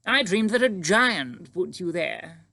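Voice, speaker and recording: Man voicing a high, imperious storybook Queen. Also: expressive, gravelly, bright, forceful tone, no room sound, exaggerated voice. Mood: sharp. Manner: imperious, drawn-out deliberate speech. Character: regal older Queen, sharp, composed, commanding. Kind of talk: audiobook character acting.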